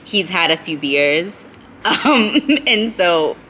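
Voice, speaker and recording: A woman's voice: on the low side, lively intonation, slow pace, bright, forceful tone, slight background noise. A woman hosting a casual vlog chat, curious and chatty, a little tipsy. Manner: curious, chatty, many "like" fillers. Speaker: vlog host. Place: cafe at night.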